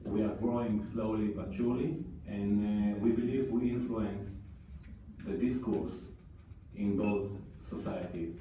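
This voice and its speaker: Echoey, distant Israeli man, earnest and halting. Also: lowish voice, steady tone, noisy background. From southern Israel. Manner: earnest, halting, with many fillers and restarts. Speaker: activist speaker. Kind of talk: prepared testimony at a parliamentary hearing. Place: large parliamentary hearing room. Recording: distant, echoey, muffled room recording.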